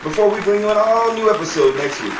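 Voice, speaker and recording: A man's voice: sits high, expressive, fast, a loud crowd. A man announcing a scripted radio show sign-off. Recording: echoey distant room, noisy audience ambience.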